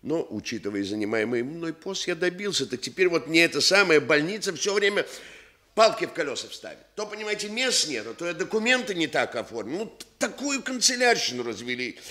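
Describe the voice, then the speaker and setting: An actor in a Soviet radio play voicing a pompous, self-important housing activist who speechifies officiously with false modesty. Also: male voice, a little high, bright, forceful tone. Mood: ingratiating, complaining. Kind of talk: Soviet radio theatre acting.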